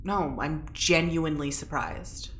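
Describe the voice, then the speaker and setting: Sardonic female prisoner, lowish, cutting voice, defiant gallows humor, audio drama, reverberant room. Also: fairly even intonation, unhurried. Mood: wry, resigned. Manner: dry, sarcastic. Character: sardonic, defiant young woman prisoner, dark-humored test subject. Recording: roomy echo, background noise.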